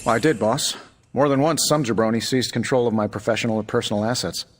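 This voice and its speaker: An actor playing a glib, wisecracking billionaire inventor superhero in a video game, breezy and self-assured. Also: male voice, mid-range voice, fast, close to an everyday voice. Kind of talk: video game cutscene acting. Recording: reverberant hall, faint music behind.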